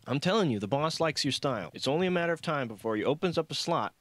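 A man's voice: on the high side, expressive, fast talker, no room sound, voice pushed up, close to an everyday voice. A man voicing a friendly, upbeat male security guard in an audiobook, breezy and casual.

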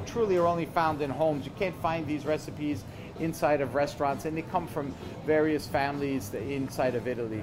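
A man in his fifties, a chef being interviewed, explaining things conversationally and haltingly, often repeating words. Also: fairly high pitch, rough recording. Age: 50s. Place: store counter indoors.

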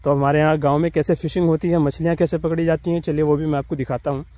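Young male vlogger with a mellow, higher voice, selfie-filming outdoors. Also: little pitch movement, fast talker. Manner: polite, addresses viewers directly. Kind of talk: casual vlog narration. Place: outdoors in the village. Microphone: phone at arm's length, selfie view.

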